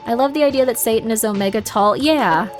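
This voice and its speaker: A woman's voice: a little high, brisk. A woman chatting casually and playfully on a livestream, reacting aloud as she plays a game. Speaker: streamer. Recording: prominent game music behind. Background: video game music.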